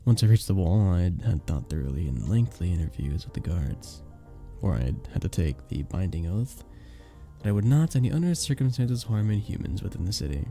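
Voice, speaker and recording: A very dark, velvety male vampire bartender acted out by a man in an ASMR roleplay. He is sweet, earnest and flirtatious, speaking softly and hesitantly. The pitch is low and swings expressively, with soft music underneath. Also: very animated, fairly quick, creaky, heavily acted. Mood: wistful, reluctant. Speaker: audio roleplay performer. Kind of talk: ASMR romantic roleplay acting. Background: soft background music.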